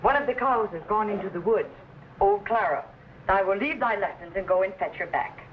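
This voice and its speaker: A woman playing a firm, loving Quaker pioneer mother in an old-time radio drama, with measured, old-fashioned thee-and-thou speech. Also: mid-range voice, close to an everyday voice. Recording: dull, noisy old radio recording.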